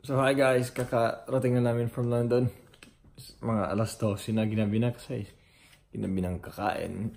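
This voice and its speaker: A man's voice: medium pitch, level tone, moderate pace, creaky. A young Filipino man with a Filipino accent, chatting casually to his phone camera as a vlogger, switching between Filipino and English. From Philippines. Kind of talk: casual vlog talk. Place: busy fast food restaurant. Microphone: phone at arm's length. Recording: loud crowd noise in restaurant. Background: crowd chatter.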